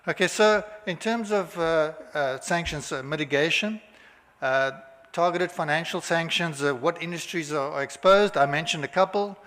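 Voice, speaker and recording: A man with a South African accent giving a halting conference presentation, full of filler sounds and restarts. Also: on the high side, fairly bright tone. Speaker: conference speaker. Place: hotel conference hall. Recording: long hall reverb.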